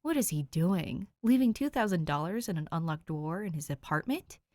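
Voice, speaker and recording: A woman's voice: fairly low pitch, brisk, no echo. A woman reading a fan fiction story aloud, narrating expressively. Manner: expressive, voices characters. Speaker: fanfic podfic reader. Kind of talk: audiobook narration.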